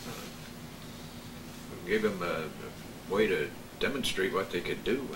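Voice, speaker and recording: Slow, halting older man, low-voiced. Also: animated. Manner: halting, searching for words. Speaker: guest, broadcasting old-timer. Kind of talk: casual reminiscing. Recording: echoey, distant, noisy room recording.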